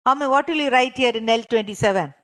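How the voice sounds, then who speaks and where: A woman's voice: a little high, quick pace. A woman leading a training lesson, explaining step by step, repeating herself and often checking that learners understand. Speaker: trainer leading a clinical interviewing course. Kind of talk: interactive online lesson, explaining and quizzing participants.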